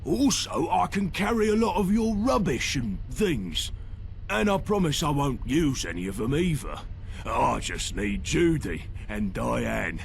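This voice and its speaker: An actor playing a simple, good-hearted big brawler in a video game, with a gravelly British voice and a halting, earnest manner that fumbles for words. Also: male voice, mid-range voice, very animated, a little hiss or hum. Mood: earnest, plain. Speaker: companion character in a video game.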